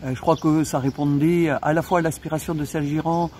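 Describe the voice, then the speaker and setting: Male interviewee, slightly high voice, halting and informal, faint ambient noise behind. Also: fairly even intonation, darkish tone. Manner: halting, searching for words. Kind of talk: on-site interview, informal explanation.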